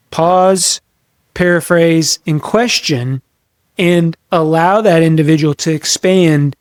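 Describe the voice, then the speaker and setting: A man's voice: fairly high pitch, a little mellow, no room sound, clean studio sound. A man hosting a leadership podcast, coaching listeners directly in an earnest, emphatic way and repeating key phrases for stress. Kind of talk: motivational coaching monologue, direct address to listeners.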